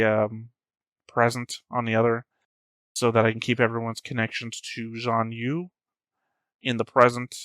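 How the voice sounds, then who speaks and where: A man giving unscripted reaction commentary as a host, rambling as he thinks out loud. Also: medium pitch, clean tone, dry recording.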